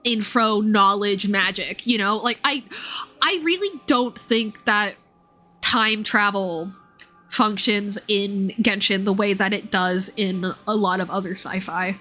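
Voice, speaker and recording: A woman livestreaming a video game, talking casually and explaining things in a thoughtful, halting way. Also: mid-range voice, bright, forceful tone. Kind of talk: casual game stream commentary and read-aloud. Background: music.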